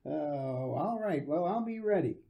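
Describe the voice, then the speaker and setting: A man playing an affable suburban husband and father in an old-fashioned radio drama, casual, folksy and gently concerned. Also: on the high side, gravelly, dull audio, heavily acted. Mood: jovial.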